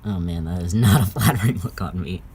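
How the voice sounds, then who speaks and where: A man's voice: low voice, fairly quick, darkish tone. A man vlogging in casual, everyday conversation. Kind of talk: casual vlog chat. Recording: noisy handheld vlog recording.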